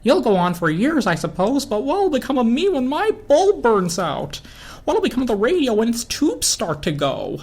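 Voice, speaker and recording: A woman's voice: a little high, very animated, normal speed, a little mellow, slightly rough, full character voice. A woman voicing an anxious, self-concerned desk lamp in a dramatic storybook reading. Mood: worried retort. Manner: worried, retorting. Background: orchestral film score.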